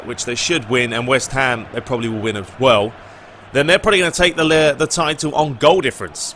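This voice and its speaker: A man's voice: medium pitch, pushed and bright, slight background noise. A man hosting a gaming video, chatting informally and giving his opinions as he answers questions in a casual commentary style.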